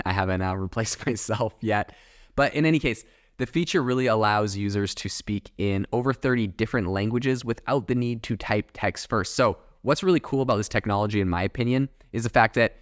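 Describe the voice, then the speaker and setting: A man's voice: on the low side, no room sound, clean studio sound. A young man hosting a solo podcast, chatting casually in a rambling way with many fillers and asides. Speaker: podcast host.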